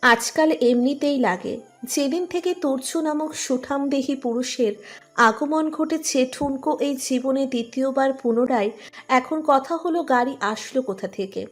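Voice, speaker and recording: A woman's voice: high-pitched, unhurried, faint music behind. A woman reading a scripted romantic story as narrator.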